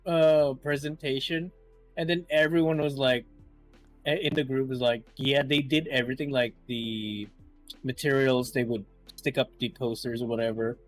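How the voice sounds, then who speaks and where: A man's voice: mid-range voice, fairly even intonation, normal speed, soft background music, rough recording. A man chatting on a group podcast, teasing and joking with his friends. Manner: teasing, jokey. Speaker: podcast co-host, VTuber. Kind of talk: casual group chat.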